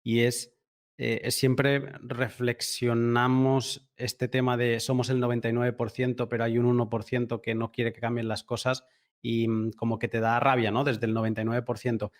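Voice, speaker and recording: A man's voice: medium pitch, level tone, normal speed. A man hosting a conversational podcast interview, thinking aloud in a reflective way.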